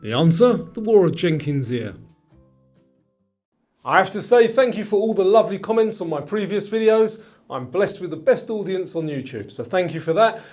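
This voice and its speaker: A man with a high voice presents a scripted quiz over background music. His intonation swings widely as he addresses the player, and each phrase is clearly enunciated in a presenter's style. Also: sing-song, normal speed, darkish tone. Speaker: quiz show host.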